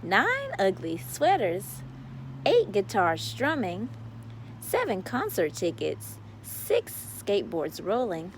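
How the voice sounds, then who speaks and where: A woman reads a picture book aloud for children with a very animated, swooping voice. Her pace is unhurried, with words drawn out in a playful sing-song. Some background ambient noise sits behind her. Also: medium pitch. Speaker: read-aloud story time host.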